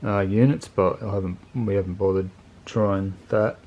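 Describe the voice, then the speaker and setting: Low, flat-toned man reviewing gear. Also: moderate pace, dull audio. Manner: laid-back, colloquial, plain-spoken. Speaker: motorcycle video channel host reviewing gear. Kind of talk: casual unscripted product review.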